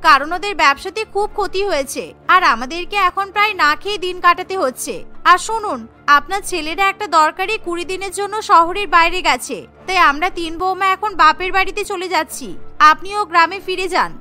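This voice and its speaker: A woman's voice: very high-pitched, brisk, sharp tone, faint music behind, exaggerated voice. A woman voicing a young daughter-in-law in a cartoon family story. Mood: rude, dismissive. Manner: brusque scolding.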